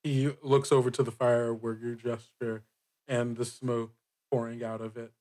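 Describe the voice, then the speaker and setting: Mid-pitched man narrating roleplay. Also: level tone, a little slow, a little mellow. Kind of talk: narrating a tabletop roleplay scene.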